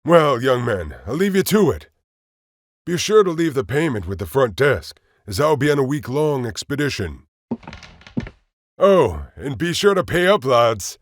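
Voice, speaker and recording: An actor playing a courteous but firm guild officer in a fantasy audio drama, genial, formal and a little patronizing. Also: male voice, medium pitch, sing-song, a little slow, dry recording. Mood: politely firm.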